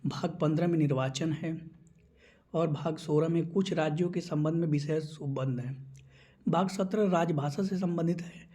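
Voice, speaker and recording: A man's voice: fairly high pitch, fairly even intonation, normal speed, warm tone. A man giving an instructional exam-prep lecture, explaining points item by item as a teacher.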